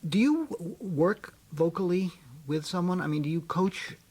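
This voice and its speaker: A man's voice: high-pitched, lively intonation, normal speed, darkish tone, slightly rough. A man hosting a radio interview, asking long, rambling questions and murmuring agreement while his guest speaks.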